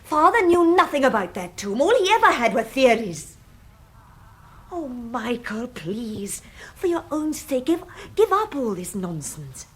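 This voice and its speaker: An actress playing an anxious but defiant sister in a radio drama, earnest and exasperated. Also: female voice, fairly high pitch, sing-song, unhurried. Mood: incredulous, pleading.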